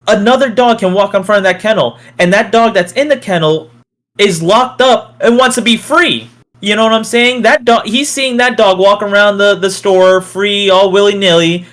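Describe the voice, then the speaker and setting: A man chatting casually as a podcast co-host, telling stories in a halting, informal way. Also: sits high, a bit bright. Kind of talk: casual podcast chat, storytelling.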